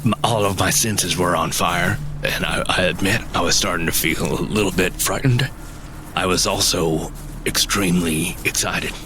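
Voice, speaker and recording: A man playing an earnest cryptid enthusiast, telling his story in a measured, halting way in a fantasy audio drama. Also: low-pitched, steady tone, music in the background, natural voice. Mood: uneasy awe.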